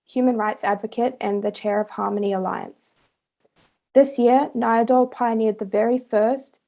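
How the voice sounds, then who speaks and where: A woman chairing a meeting, introducing speakers in a formal, brisk way. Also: mid-range voice, gravelly, warm tone.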